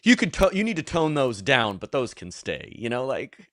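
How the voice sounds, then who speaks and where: A man's voice: mid-range voice, big pitch swings, normal speed, fairly bright tone, no echo, clean studio sound. A man whose first language is Polish, co-hosting a movie podcast in rambling casual chat full of restarts. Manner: rambling, many restarts and fillers. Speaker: podcast co-host.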